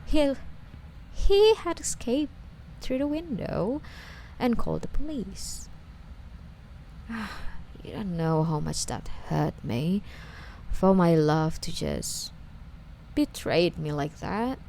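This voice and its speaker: Obsessive, lovesick young female stalker played by a woman for ASMR roleplay: coy and wheedling, slow, with creaky fry. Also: on the low side, sing-song, mellow, slight background noise, heavily acted, poor audio. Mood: hurt. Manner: coy, wheedling, hesitant, sighing delivery.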